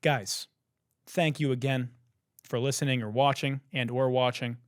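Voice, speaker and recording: A young man hosting a podcast, ranting in disbelief with lots of swearing and laughter, a little hoarse. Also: medium pitch, fairly even intonation, measured pace, no echo, clean studio sound. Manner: profane, laughing, incredulous ranting. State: hoarse, says he has lost his voice. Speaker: podcast host. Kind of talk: casual comedic commentary.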